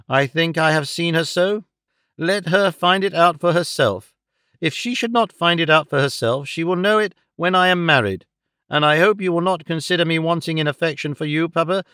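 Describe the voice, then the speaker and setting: Man voicing a haughty young woman, raised pitch. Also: a bit bright, dry recording, studio quality, lightly acted. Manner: pointed, loftily formal. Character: haughty, defiant young woman, wilful daughter. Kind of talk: audiobook character acting of a Victorian novel.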